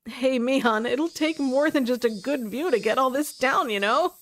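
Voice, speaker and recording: A woman's voice: high voice, moderate pace, a bit bright. Woman playing a wry, earnest teenage boy. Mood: doubtful.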